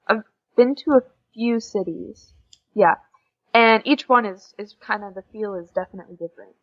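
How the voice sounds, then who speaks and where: A woman's voice: mid-range voice, moderate pace. A young American woman from Oklahoma chatting casually as a guest in an interview. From Oklahoma, United States. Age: young adult. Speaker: podcast guest, exchange student. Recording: dull lo-fi audio.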